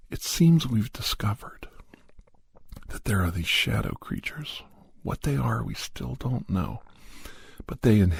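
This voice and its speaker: A man hosting an ASMR podcast, talking informally in a hushed, unhurried way close to the microphone, sometimes slipping toward a whisper. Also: on the low side, vocal fry, breathy edge, dry recording. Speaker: podcast host. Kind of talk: ASMR, rambling update and story recap.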